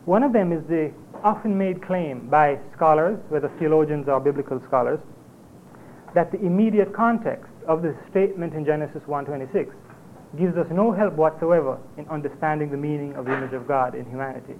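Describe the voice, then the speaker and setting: A man with a Jamaican accent presenting an academic paper at a conference, in a measured lecturing manner with occasional hesitations. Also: a little high. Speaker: conference speaker. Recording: tinny phone-like lo-fi recording with faint hiss.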